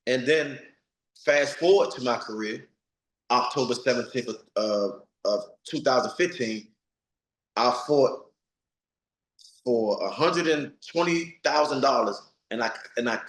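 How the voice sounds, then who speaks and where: A man's voice: mid-range voice, unhurried, a bit bright, roomy sound, rough recording. A man of forty, a retired boxer, giving blunt, streetwise interview answers and repeating himself for emphasis. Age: 40s.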